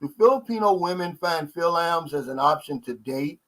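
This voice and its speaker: A middle-aged man, hosting a casual live stream in a relaxed, folksy way and reading viewer messages aloud. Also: fairly high pitch, normal speed, poor audio. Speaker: host.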